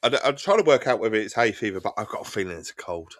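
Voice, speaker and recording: A man's voice: fairly low pitch, animated, very fast. A man with an Essex accent chatting casually and rambling while he streams a game, stuffy and congested from a cold, with a casual habit of swearing. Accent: Essex English. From Essex, England. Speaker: livestreamer. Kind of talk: casual chat while gaming. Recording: loud game vehicle noise behind.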